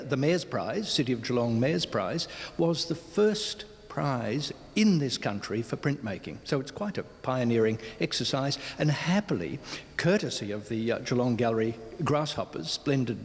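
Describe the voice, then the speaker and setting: Male interviewee, Australian, mid-pitched, articulate and considered, recorded in a reverberant hall. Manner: articulate, considered, wordy phrasing with asides. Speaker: gallery spokesperson, interviewee. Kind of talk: interview, explanatory talk. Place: large indoor gallery space.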